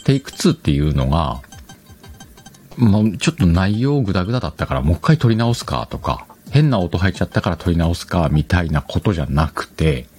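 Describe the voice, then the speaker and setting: A man's voice: deep voice, very animated, a little fast, darkish tone, soft background music. A man hosting a solo podcast in a casual chat, playfully talking to himself and correcting himself as he goes. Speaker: podcast host. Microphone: external microphone, recorded on a computer.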